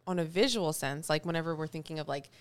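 A woman's voice: low-pitched, fast, clean tone. A young woman co-hosting a podcast in casual chat, talking with many fillers. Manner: chatty, lots of likes and fillers. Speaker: podcast co-host.

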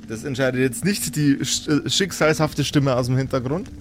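A man narrating an improvised fantasy story as game master, with theatrical, relishing, drawn-out phrasing. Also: mid-range voice, soft background music.

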